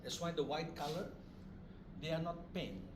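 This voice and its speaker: A man's voice: fairly high pitch, moderate pace. Indonesian man demonstrating, distant in noisy room. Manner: short phrases in simple non-native English. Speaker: puppet maker giving a demonstration. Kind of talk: informal craft demonstration to visitors. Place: roomy puppet studio. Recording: roomy, distant mic, loud background noise.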